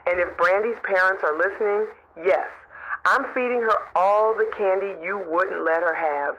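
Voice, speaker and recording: A woman playing a brash, soft-hearted citizen reporter in a radio drama, blunt and folksy, heard through a radio filter. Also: medium pitch, moderate pace. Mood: brash, wry. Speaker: caller. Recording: muffled, noisy radio call-in line.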